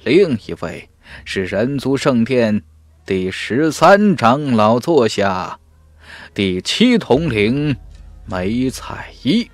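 Man doing a stately old commander, very drawn-out. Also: medium pitch, very animated, no room sound, soft background music. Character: authoritative purple-bearded old male temple commander.

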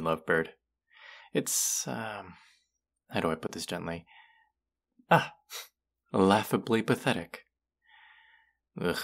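A man's voice: low-pitched, gravelly, a bit bright, exaggerated voice. A man acting a condescending, mocking young twin brother in a roleplay audio drama, with a teasing, sarcastic drawl.

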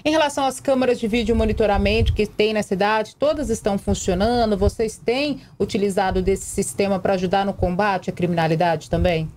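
A woman's voice: medium pitch, brisk, few pauses, darkish tone. Brazilian woman interviewer, faint background noise. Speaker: news interviewer. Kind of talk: live news interview questions. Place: indoor news studio. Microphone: desk gooseneck microphone.

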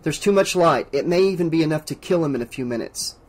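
A man's voice: on the high side, lively intonation, fast talker. Cautious young man, earnest and urgent, slightly raised voice, as the narrator plays him. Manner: urgent, careful.

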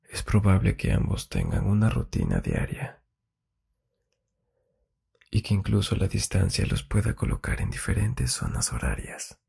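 A man hosting intimate ASMR, giving advice softly and breathily, at times slipping into a whisper, close to the mic. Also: fairly low pitch, wildly swooping pitch, a little slow. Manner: soft, breathy, intimate, partly whispered delivery. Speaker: ASMR host. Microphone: close-up binaural microphone.

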